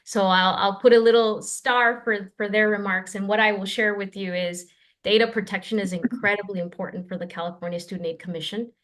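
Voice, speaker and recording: A woman's voice: mid-range voice, fairly even intonation, a little fast, a bit bright. A woman speaking as a state aid official, giving firm, reassuring remarks at a news briefing over a remote call.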